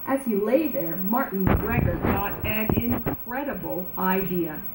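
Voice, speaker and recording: Woman reading a storybook in an echoey room. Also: medium pitch. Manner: animated, dramatic, drawn-out emphasis. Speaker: storybook reader. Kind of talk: expressive children's read-aloud narration. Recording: roomy echo, background noise.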